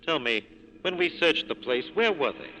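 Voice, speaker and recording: An actor playing a suave, corrupt middle-aged police official with wry, measured delivery in a 1940s radio drama. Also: male voice, a little high, big pitch swings, poor audio. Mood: wryly fond. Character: sly, urbane, corrupt male police official, wryly amused.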